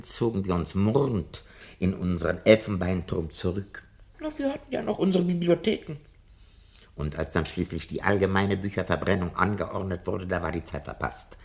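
A man's voice: deep voice, creaky, darkish tone. An actor playing a disillusioned elderly bookish man in a German radio drama, speaking in a theatrical, ruminative and sardonic way. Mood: bitter, resigned. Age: older.

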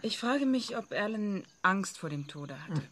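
An actress playing a calm, sceptical idealist who questions a visionary in a radio drama. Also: female voice, mid-range voice, measured pace, slight background noise. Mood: questioning.

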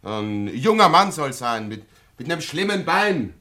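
An actor playing a plain-spoken, helpful country local in a German radio play, talking in short colloquial phrases. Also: male voice, a little high, sing-song, a little slow, bright, forceful tone, full character voice. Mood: helpful, emphatic.

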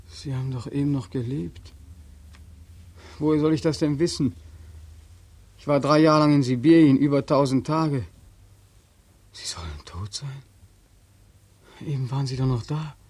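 An actor playing a despairing, homeless soldier back from war, emotional and pleading, in 1940s radio drama style. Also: male voice, medium pitch, a little mellow, breathy edge, no echo. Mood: stunned, grieving. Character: despairing returned soldier, homeless, anguished and naive. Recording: dry 1940s radio recording.

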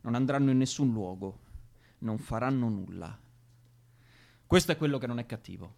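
An actor playing an irritable, bossy young militant with a Roman accent, arguing and swearing in a naturalistic radio drama. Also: male voice, medium pitch, normal speed, gravelly, a little mellow, slight background noise, natural voice. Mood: indignant. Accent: Roman Italian. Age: young adult. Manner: foul-mouthed, argumentative.

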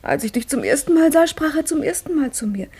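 An actress playing Fatime, a clever and devout young wife who is playful, earnest and intimate in a 1950s radio play. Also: female voice, high voice, animated, brisk, warm tone. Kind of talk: 1950s radio drama acting.

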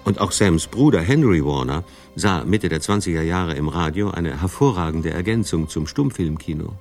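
Man, very deep voice, scripted documentary narration, light music underneath. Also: lively intonation, moderate pace, darkish tone.

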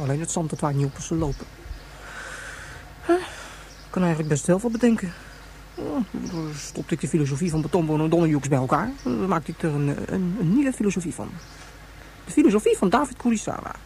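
Headstrong little boy for radio drama, voiced by a woman: childlike, excitable, swooping intonation, faint noise behind. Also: mid-range voice, lightly acted. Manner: breathless piled-up intensifiers, childish insistence. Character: headstrong young boy, excitable, stubborn and indignant. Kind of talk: Dutch radio drama acting.

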